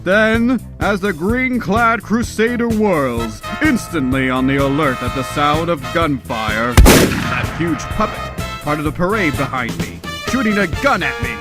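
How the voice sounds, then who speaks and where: A man's voice: fairly high pitch, expressive, unhurried. A man delivering dramatic scripted narration of a comic book. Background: faint music.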